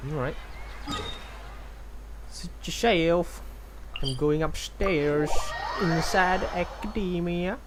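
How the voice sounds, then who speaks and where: A man's voice: fairly high pitch, takes it slowly, pauses often, noisy background. A man giving casual, hesitant gameplay commentary, thinking aloud. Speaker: game streamer. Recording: game music behind voice.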